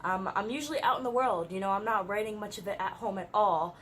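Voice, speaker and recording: A woman's voice: medium pitch, quick pace, fairly bright tone, a little hiss or hum. A young woman with a slightly congested voice, chatting casually to the camera as a vlogger, peppering her talk with "like" and little laughs. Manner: chatty, uses "like" often, light laughs. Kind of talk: casual chat. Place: her room.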